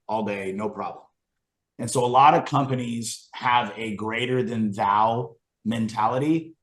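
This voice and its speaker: A man presenting a draft to colleagues over a video call, casual and rambling, with frequent ums and dry asides. His voice sits at a middle pitch. The call audio is roomy and echoey. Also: fairly bright tone. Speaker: committee member presenting a supplier.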